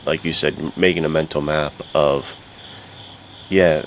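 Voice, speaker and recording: A man's voice: a bass voice, steady tone, unhurried. A man chatting casually as a podcast co-host in an earnest, reflective way. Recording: faint background noise.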